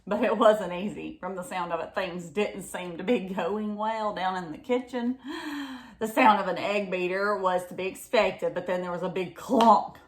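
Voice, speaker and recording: A woman's voice: mid-range voice, normal speed, reverberant. A woman reading a children's picture book aloud, expressively, with exclamations and chatty questions to her listeners. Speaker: read-aloud storyteller.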